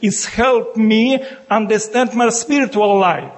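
A man from Ukraine speaking in halting, broken English with a Ukrainian accent, a bishop and monk addressing a meeting as guest speaker. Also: sits high. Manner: searching for words in broken English.

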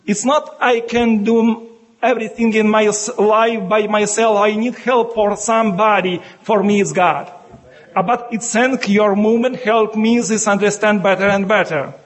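A man from Ukraine speaking in halting, broken English with a Ukrainian accent, a bishop and monk addressing a meeting as guest speaker. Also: high-pitched, steady tone, low-quality recording. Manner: searching for words in broken English.

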